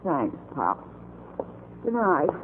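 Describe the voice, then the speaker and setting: A woman's voice: low voice, big pitch swings, very slow, full character voice. An actress playing a weary, friendly working-class cleaning woman with a folksy, chatty manner in 1940s radio drama. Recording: tinny, faintly noisy old radio recording.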